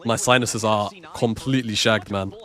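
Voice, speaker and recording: A man's voice: on the low side, steady tone, moderate pace, fairly bright tone. Man, nasal from a cold, casual co-streamer, chatty, reacts to plays. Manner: chatty, reacting to the game and reading chat. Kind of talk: casual live co-stream chat.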